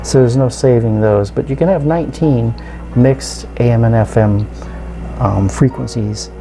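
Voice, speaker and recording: A man gives a casual hands-on demonstration in a creaky, medium-pitched voice. He explains things step by step, informally and haltingly, with music clearly audible behind him. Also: dark tone. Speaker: radio reviewer and video host.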